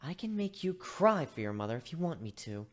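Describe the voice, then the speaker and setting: A woman's voice: low voice, sing-song, fairly quick. A woman playing a stern, short-tempered fantasy ship's medic who scolds and threatens, in a dramatic reading. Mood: scolding. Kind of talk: dramatic reading of fan fiction.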